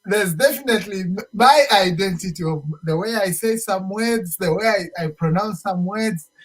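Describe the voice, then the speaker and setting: A man's voice: sits high, normal speed, a bit bright, slightly rough. A man with a Zambian accent chatting as an interview guest, emphatically restating his points and slipping into local phrases. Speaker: show guest, musician. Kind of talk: casual interview chat.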